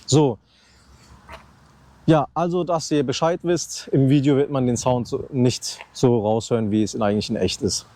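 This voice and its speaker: A man's voice: mid-range voice, steady tone, normal speed, dark tone. A man in his thirties, chatting casually outdoors on a handheld mic held close to his mouth, rambling and restarting his sentences. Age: 30s. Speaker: motorcycle vlogger. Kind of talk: casual unscripted vlog. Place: outdoors beside a loud motorcycle. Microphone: handheld mic raised close to the mouth.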